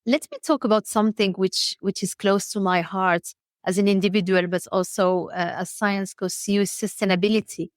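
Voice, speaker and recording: A woman's voice: medium pitch, steady tone, normal speed, no echo, clean studio sound. A woman from Morocco hosting a podcast, interviewing a guest and affirming her answers with encouragement. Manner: warm, encouraging, frequent affirmations. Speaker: podcast host.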